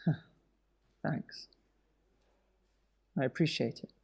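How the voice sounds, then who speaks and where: A man's voice: slow, a little mellow, dull audio, lightly acted. A man playing an anxious, stubborn younger brother and worried husband in a naturalistic audio drama, hesitant and earnest. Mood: subdued, reluctant.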